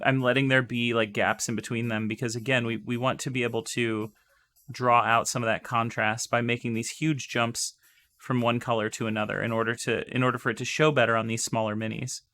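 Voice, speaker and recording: Hoarse chatty man painting on stream. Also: mid-range voice, pushed and bright. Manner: chatty and upbeat, talks straight to viewers. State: hoarse, losing his voice. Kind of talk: live-stream painting tutorial.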